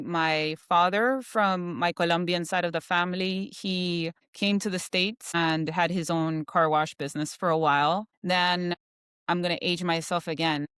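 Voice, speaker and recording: A woman guest chatting casually in an interview, talking freely and filling pauses with "you know". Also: fairly low pitch, a bit bright, clear, resonant tone, dry recording, clean studio sound. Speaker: guest, insurance professional.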